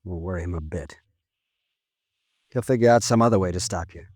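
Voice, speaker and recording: A man's voice: low voice, fairly quick, darkish tone, no room sound, clean studio sound. An actor playing a weary, bitter henchman of a tycoon, resigned and cynical, in 1950s radio drama style. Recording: restored old radio broadcast, faint music.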